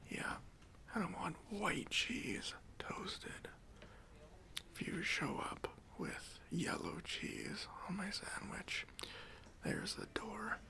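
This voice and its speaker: Man playing a whispering, creaky, pompous boss. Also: high-pitched, pushed and bright, heavily acted. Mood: demanding, condescending. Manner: pompous, condescending, halting with drawn-out pauses. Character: self-important, demanding, petty male boss conducting a job interview. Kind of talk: whispered ASMR roleplay.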